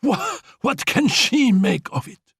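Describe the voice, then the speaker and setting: A man's voice: very animated, slow, creaky, a bit bright, husky, no echo, studio quality, exaggerated voice. A man voicing a dying young woman in an audiobook, breathless and coughing, defiant and imperious, laughing between pleas and commands. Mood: feverish, defiant. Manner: high-pitched, laughing, pleading and commanding. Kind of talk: audiobook character reading.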